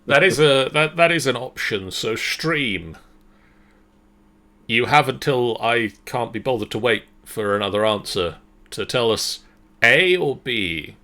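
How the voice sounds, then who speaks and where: A man serving as game master, narrating a story in a measured, dramatic way and then chatting casually. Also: mid-range voice, expressive, bright, forceful tone.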